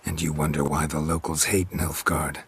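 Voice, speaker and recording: Casting: weary, dry-witted monster slayer, deep rasping voice, curt and flat, fantasy game. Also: male voice, normal speed, exaggerated voice. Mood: dry, unimpressed. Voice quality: raspy, gravelly. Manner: terse, deadpan. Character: gruff, weary, dry-witted male monster hunter for hire.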